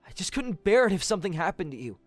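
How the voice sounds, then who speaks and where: A performer playing an earnest, gentle, self-sacrificing young man in an audio drama, with heartfelt delivery. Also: mid-range voice, very animated, quick pace, dry recording, soft background music. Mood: apologetic, earnest.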